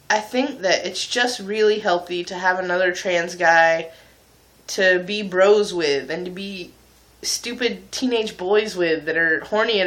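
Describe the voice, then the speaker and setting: Young man, video blogger. Bright, forceful tone, candid casual chat, pokes fun at himself. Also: high voice, low-quality recording. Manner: candid, informal, self-mocking. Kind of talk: casual confessional chat to viewers.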